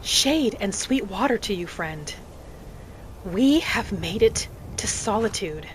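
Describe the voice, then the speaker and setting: A woman voicing a polite, curious female wood elf traveler, musing aloud in gentle, slightly formal game roleplay. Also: medium pitch, lively intonation, takes it slowly. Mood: pleased. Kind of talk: in-character game roleplay commentary. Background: faint music.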